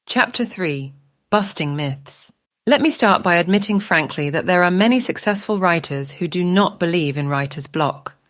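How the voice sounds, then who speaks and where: A woman author and coach narrating her own self-help audiobook in a measured, deliberate, instructional way. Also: lowish voice, animated, no room sound, clean studio sound.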